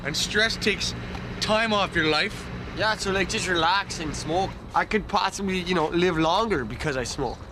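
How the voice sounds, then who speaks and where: Male, slightly high voice, joking and coughing, recorded outdoors with clear traffic noise. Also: moderate pace. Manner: joking, coughing while talking. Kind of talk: casual chat. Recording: outdoor street noise with passing cars.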